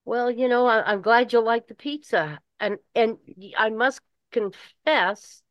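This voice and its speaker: An older woman with a Midwestern American accent, chatting casually and rambling in a halting way. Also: fairly high pitch, no room sound. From Michigan, United States. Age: 60s or older. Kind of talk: casual chat. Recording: dull lo-fi call audio.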